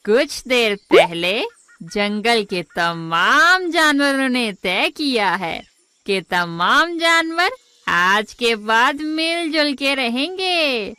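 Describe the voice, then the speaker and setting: Cartoon vixen, played by a woman: high, swooping, sly and smooth-talking, drags out her words. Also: big pitch swings, bright, forceful tone, dry recording, exaggerated voice. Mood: wheedling. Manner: wheedling, scheming, drawn-out words. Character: sly, hungry, smooth-talking female fox. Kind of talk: children's animated moral story acting.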